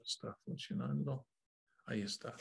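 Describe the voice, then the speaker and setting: A man's voice: mid-range voice, gravelly, a little hiss or hum. A man pastor leading an online Bible study over a video call, talking with many "ah" fillers and correcting himself as he goes. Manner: unhurried, with frequent "ah" fillers and self-corrections.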